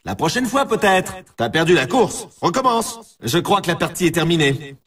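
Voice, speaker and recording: An excitable arcade snowboard race announcer, pitched high and swinging widely. He is brash and showy, punching out exclamations with a bright, forceful edge. It is big video game hype from start to end. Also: normal speed, recorded from a distance. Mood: disappointed, taunting.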